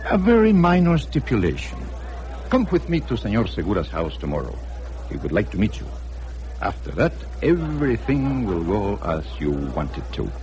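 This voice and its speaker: An actor playing a suave, formal personal assistant to a Mexican tycoon in a radio drama, speaking with precise courtesy. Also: male voice, fairly low pitch, huge pitch swings, normal speed, darkish tone, lo-fi sound. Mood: smooth, persuasive. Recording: noisy old radio drama recording.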